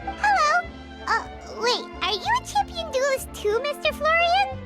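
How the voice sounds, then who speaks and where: A woman voicing a tiny, childlike, excitable fairy companion in a video game, squeaky and chirpy. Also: measured pace, full character voice. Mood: excited, curious. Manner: refers to self in third person, chirpy. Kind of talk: video game character dialogue. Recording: music playing behind the voice.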